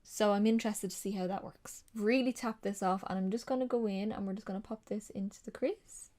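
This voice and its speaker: A woman's voice: mid-range voice, steady tone, fairly quick, dark tone. A woman talking casually through a makeup tutorial. She is slightly nasal from a sinus infection and rambles with frequent ums and likes. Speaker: beauty vlogger.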